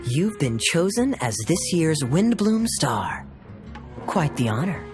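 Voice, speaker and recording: Over clear background music, a suave young captain talks with theatrical flair. His mid-pitched voice rises and falls freely, wry and teasing, in polished game-character style. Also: sing-song, takes it slowly. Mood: suave, amused. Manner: smooth-talking, wry, theatrical. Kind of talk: English video game voice acting. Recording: music playing behind the voice.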